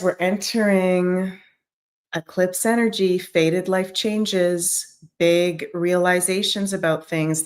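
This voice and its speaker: Woman astrology host, a bit scratchy. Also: fairly low pitch. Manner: chatty, addresses viewers as "you guys". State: slightly scratchy voice. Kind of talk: casual daily horoscope talk to viewers.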